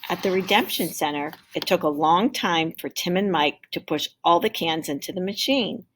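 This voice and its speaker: A woman reading a children's picture book aloud like a teacher, with deliberate, expressive emphasis. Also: lowish voice, a little hiss or hum. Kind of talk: expressive storybook read-aloud.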